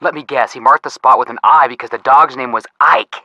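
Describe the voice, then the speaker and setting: A man's voice: mid-range voice, fairly quick, no room sound, lo-fi sound, natural voice. A man voicing an easygoing, joking young amateur detective in a video game, with a casual delivery. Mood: wry, joking. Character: wisecracking, easygoing teenage brother and amateur detective.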